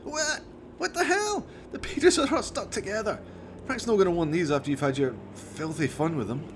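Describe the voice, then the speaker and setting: A man puts on an exasperated bloke for a radio play. He nags in sweary, colloquial phrases, his pitch leaping about with real animation. There is clear noise on the recording. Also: lively intonation, normal speed. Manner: colloquial, chiding, peppered with "aye" and "eh". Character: exasperated, sweary, scruffy bloke, chimp's best friend.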